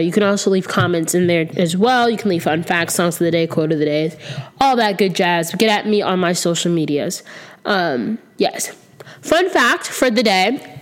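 A woman in her twenties hosting her own podcast alone, slightly sick and a little nasal, rambling and candid with lots of ums, sitting close to the mic. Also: mid-range voice. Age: 20s. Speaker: podcast host. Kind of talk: casual solo podcast chat. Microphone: close to the microphone.

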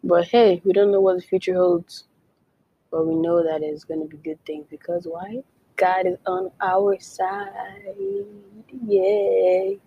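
A woman's voice: lowish voice, flat delivery, takes it slowly, dull audio, a little hiss or hum. A young woman from Nigeria hosting her own podcast, chatting casually with many "like"s and playful whispered asides. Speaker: podcast host. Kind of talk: casual solo podcast chat.

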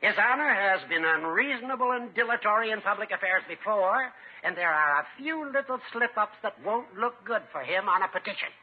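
An actor playing a pompous, sympathetic older small-town judge in a 1950s radio comedy, speaking in flowery, formal language. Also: male voice, high-pitched, animated, fairly quick, hardly any pauses, breathy edge. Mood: grave, indignant. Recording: muffled, narrow-band 1950s radio recording.

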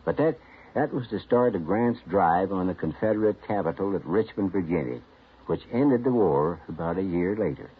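A man's voice: deep voice, animated, moderate pace, slightly rough, exaggerated voice. A man giving a folksy, drawling spoken introduction in a vintage radio broadcast. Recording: tinny old radio broadcast.

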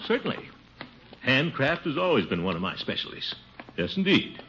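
An actor playing a pompous, boastful middle-aged bachelor with a chuckling, wheedling manner in a 1950s radio comedy. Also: male voice, mid-range voice, sing-song, normal speed, sharp tone. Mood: boastful, pleased. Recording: dull, noisy vintage radio recording.

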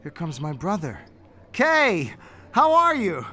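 An actor playing a proud, stubborn young wounded Jedi knight in an audio drama, with a wry, stoic manner. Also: male voice, high-pitched, huge pitch swings, takes it slowly, pushed and bright, full character voice. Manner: wry, stoic, brushing off concern.

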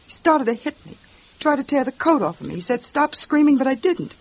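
A woman's voice: high voice, big pitch swings, fairly quick. An actress playing a frightened, bruised young woman who answers a police officer in a tired, defensive way, in a 1950s radio drama. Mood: shaken.

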